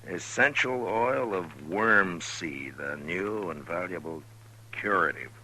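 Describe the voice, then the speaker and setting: An actor playing a gruff, wry, unhurried frontier marshal with a dry, sardonic delivery in an old radio western. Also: male voice, sits low, lively intonation, bright, forceful tone, full character voice, low-quality recording. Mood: wry, skeptical. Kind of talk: 1950s radio western acting. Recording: old radio broadcast, hum and hiss.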